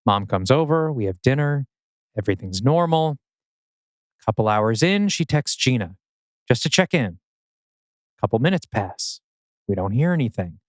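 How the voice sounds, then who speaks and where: A man's voice: fairly high pitch, big pitch swings, normal speed, long pauses, no echo. A man in a dramatic script reading, playing an anguished, bitter father in his thirties who questions intensely and pointedly. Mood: bitter, accusing. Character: anguished 39-year-old father, bitter and accusing.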